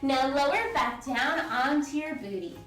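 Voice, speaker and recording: A woman's voice: sits high, expressive, a little slow, a bit bright, soft background music. A woman leading an interactive story and movement lesson for young children, giving simple, encouraging instructions in a sing-song way with repeats. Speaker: children's yoga and story time host. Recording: roomy echo, distant mic.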